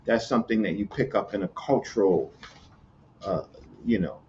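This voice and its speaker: A man from Virginia, explaining hieroglyphs in casual chat, halting, with many fillers and repeated words. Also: lowish voice, darkish tone, slight background noise. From Newport News, Virginia, USA. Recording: muffled lo-fi audio with faint ambience.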